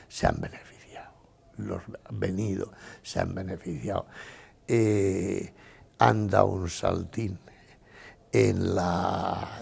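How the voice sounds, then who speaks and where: An older man with a very low, gravelly voice and a husky edge, speaking slowly. He rambles through his answers in a casual interview, often quoting himself and others. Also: darkish tone. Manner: rambling, quotes himself with repeated "dice". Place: exhibition hall.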